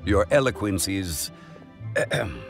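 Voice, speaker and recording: A man voicing a refined, solemn and learned gentleman in a video game, speaking in a formal, measured way. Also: low-pitched, sing-song, exaggerated voice. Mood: scholarly, courteous. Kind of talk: animated game voice acting. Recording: music behind the voice.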